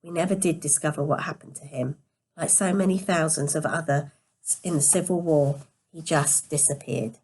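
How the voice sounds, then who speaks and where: A woman narrates a novel in a slightly low register. Her voice is quiet and tired, as if sleepy. She reads carefully, now and then tripping on a word and picking it up again. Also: steady tone, normal speed, slightly rough. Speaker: storyteller reading a novel aloud. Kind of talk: scripted story narration.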